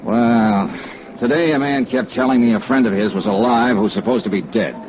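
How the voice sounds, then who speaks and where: A man's voice: mid-range voice, animated, normal speed, music in the background. An American man acting a world-weary, blunt, sardonic insurance investigator in a 1950s radio detective drama, dry and clipped. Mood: sour, weary. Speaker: lead actor and narrator. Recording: muffled vintage radio recording, clear noise.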